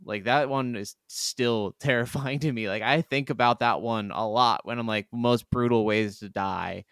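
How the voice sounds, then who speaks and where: Guy cohosting a gaming podcast, smooth clear voice, rambly and sweary, really dry sound. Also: mid-range voice, fairly even intonation, moderate pace, fairly bright tone, dry recording. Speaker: podcast co-host. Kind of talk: casual chat about video games.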